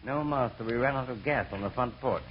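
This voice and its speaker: An actor playing a meek, fussy middle-aged gentleman in a radio comedy. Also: medium pitch, a little fast, close to an everyday voice. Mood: entranced, obedient. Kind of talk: mid-century radio comedy acting. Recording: dull, noisy old radio recording.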